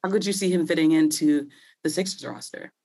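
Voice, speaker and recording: A woman hosting a sports podcast in casual conversation, her voice slightly hoarse from talking a lot, chatty and quick to laugh. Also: low voice, warm tone. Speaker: podcast host.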